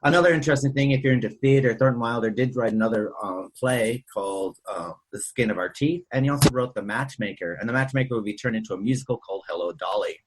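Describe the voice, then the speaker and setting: A man's voice: medium pitch, fast, hardly any pauses, slight background noise, low-quality recording. A man hosting a podcast in a casual, chatty and informative way, discussing films with a rambling delivery. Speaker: podcast host.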